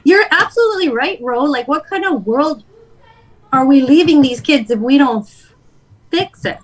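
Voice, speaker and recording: Female talk guest, Canadian accent, higher-pitched voice, blunt and emphatic opinion chat. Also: lively intonation, normal speed, rough recording. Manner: blunt, emphatic, mildly profane. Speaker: guest on a livestream talk show. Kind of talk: opinionated casual political chat.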